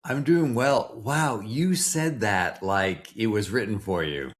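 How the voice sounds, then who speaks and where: A man's voice: lowish voice, slow. A man with a Canadian accent, a podcast guest chatting casually and often restarting his sentences. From East Coast Canada. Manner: rambling, self-correcting. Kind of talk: casual interview chat.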